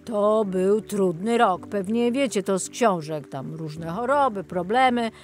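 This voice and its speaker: A woman's voice: medium pitch, measured pace, a little mellow, faint music behind, close to an everyday voice. A woman voicing a friendly, chatty male boatman tour guide in a children's audiobook, in a welcoming storytelling manner.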